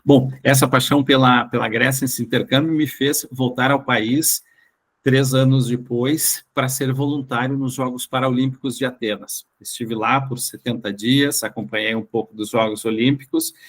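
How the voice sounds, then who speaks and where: A Brazilian man speaking as an interview guest, recounting personal experiences haltingly and often rephrasing his words. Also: mid-range voice.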